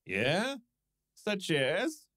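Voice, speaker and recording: Man as a blustering, animated police inspector. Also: sits high, very slow, full character voice. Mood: exasperated, suspicious. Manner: blustering, accusatory. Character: gruff, exasperated male police inspector. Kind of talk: old-time radio drama acting.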